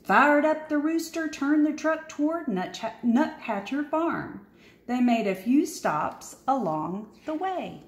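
A woman reads a children's picture book aloud in a fairly high voice that swings up and down with plenty of animation. She takes her time, leaning on key words with dramatic pauses. The room adds a noticeable echo. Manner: expressive, dramatic pauses and emphasis. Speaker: storybook reader.

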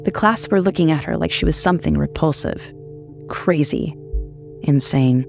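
A woman's voice: deep voice, normal speed, vocal fry, darkish tone, faint music behind. A woman reading a horror short story as scripted narration.

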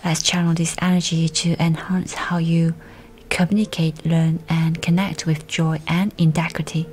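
A woman reading a scripted guided meditation in a soft, soothing, breathy delivery. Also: sits low, level tone, moderate pace. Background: music.

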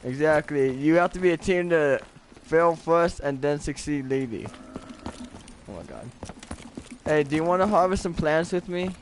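A man's voice: on the high side, animated, normal speed, a little hiss or hum. A young man in casual game chat with teammates while streaming, slangy and foul-mouthed, talking into a headset. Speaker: gamer live-streaming a co-op game. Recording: game audio under voice. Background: game music and effects.

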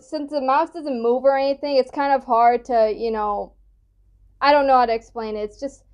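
High-voiced young woman, lo-fi sound. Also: darkish tone. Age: young adult. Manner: giggly, informal, rambling. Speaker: reaction video host. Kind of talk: casual reaction commentary. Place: indoor room at home.

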